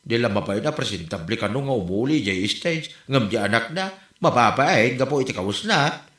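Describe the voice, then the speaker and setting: A man's voice: medium pitch, expressive, normal speed, fairly bright tone, close to an everyday voice. A man playing a blunt, gossipy villager in a comedy audio drama, grumbling conversationally with a northern Philippine accent. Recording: roomy, echoey voice recording.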